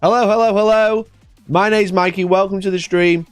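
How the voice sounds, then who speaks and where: A man hosting a casual live stream, energetic and chatty, with a congested voice from a cold. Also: high-pitched, moderate pace, smooth, no room sound, faint music behind. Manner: energetic and chatty, repeating his greetings. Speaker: livestream host.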